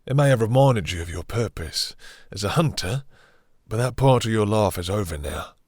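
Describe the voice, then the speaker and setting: A man playing a possessive, domineering vampire master in an intimate roleplay audio drama, with slow, theatrical, condescending menace and a breathy, seductive purr. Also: on the low side, expressive, darkish tone, no room sound, clean studio sound. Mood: stern, threatening.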